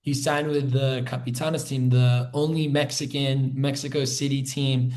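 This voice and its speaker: A man's voice: mid-range voice, level tone, unhurried, a little mellow. A man hosting a podcast, casually interviewing and recapping facts in short phrases before he gets to his question. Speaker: podcast host.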